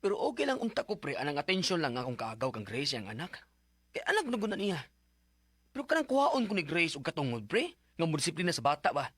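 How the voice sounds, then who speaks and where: A man's voice: fairly high pitch, wildly swooping pitch, fast talker, fairly bright tone, dry recording, studio quality, heavily acted. A man playing an exasperated, hot-tempered stepfather in a Bisaya radio drama, scolding and complaining. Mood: frustrated.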